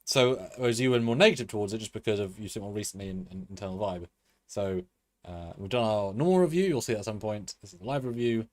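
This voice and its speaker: A man's voice: lowish voice, quick pace. A man co-hosting a live review show, rambling in a chatty way with many fillers and restarts. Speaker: co-host. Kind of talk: live-stream review chat.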